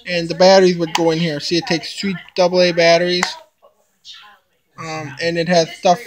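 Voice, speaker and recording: A young man giving a casual unboxing demonstration, explaining things in a halting, repetitive way into a handheld camera. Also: high-pitched. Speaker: hobbyist video maker. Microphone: handheld camera, no tripod.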